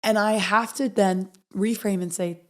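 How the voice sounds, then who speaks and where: Hoarse, earnest woman podcast host. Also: mid-range voice, steady tone. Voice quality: raspy. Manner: earnest, reading affirmations softly and slowly. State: hoarse from recovering after illness. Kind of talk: motivational podcast monologue.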